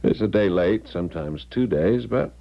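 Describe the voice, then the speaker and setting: Deep-voiced, insistent young American man. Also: animated, moderate pace, creaky. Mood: teasing. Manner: direct, teasing, pressing. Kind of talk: 1970s radio drama acting. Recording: dull 1970s radio broadcast recording.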